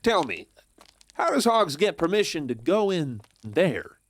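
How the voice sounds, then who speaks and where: Man playing a high-voiced, swaggering Western outlaw. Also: sing-song, unhurried, over music. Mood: sly, curious. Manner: theatrical, teasing, flirtatious swagger. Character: cocky, sly male Western outlaw, smooth-talking schemer. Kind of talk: Western audio drama acting.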